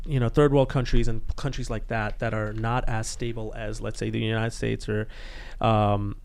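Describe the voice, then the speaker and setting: A man's voice: on the low side, level tone, moderate pace, vocal fry, darkish tone. A young man from Bangladesh co-hosting a podcast, talking bluntly in casual chat with lots of fillers and restarts. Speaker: podcast co-host.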